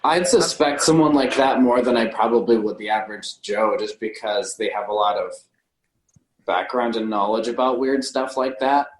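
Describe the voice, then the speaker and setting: A man's voice: medium pitch, normal speed. Guy on a movie podcast, kinda tentative, keeps hedging, roomy echo. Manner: tentative, self-qualifying. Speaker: podcast co-host. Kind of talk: casual film discussion.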